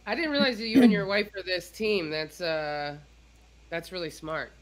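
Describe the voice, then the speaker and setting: A woman's voice: fairly low pitch, lively intonation, normal speed, poor audio. A middle-aged woman hosting a casual live stream in an informal, effusive way. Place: indoor room, video call.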